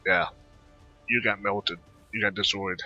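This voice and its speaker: A man's voice: lowish voice, lively intonation, a little slow, gravelly, sharp tone, muffled recording, faint music behind. A man giving casual, rambling game commentary, often repeating words and trailing off, into a close microphone. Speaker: gaming video host.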